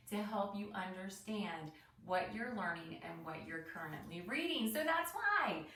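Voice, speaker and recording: A woman's voice: mid-range voice, bright, forceful tone. Woman teacher in an echoey room. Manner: simple, encouraging explanations with frequent check-in questions. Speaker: elementary teacher. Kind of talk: read-aloud lesson for young children. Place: indoor room with pet dogs nearby. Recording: roomy echo, distant mic, background noise.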